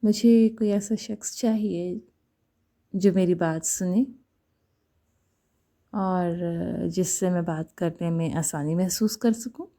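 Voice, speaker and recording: A woman voicing a persistent young male suitor in a romantic story, tentative and gently pleading. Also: fairly low pitch, slow, long pauses, pitched below the performer's own voice.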